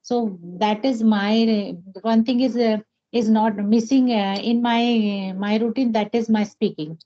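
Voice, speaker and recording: A woman from India, speaking English, pauses often and restarts her sentences. Her tone is dark and mellow. The audio sounds muffled, like an online call. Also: on the high side, steady tone. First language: Hindi. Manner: hesitant, many fillers and restarts. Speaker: English learner, homemaker. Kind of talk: casual conversation practice.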